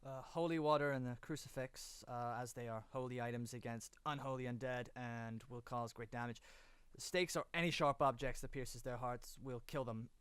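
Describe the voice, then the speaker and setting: A man's voice: medium pitch, steady tone, moderate pace, fairly bright tone, smooth, no room sound, lightly acted. A man playing a carefree, cheeky teenage slayer prince in an amateur audio drama, talking flippantly. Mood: hesitant.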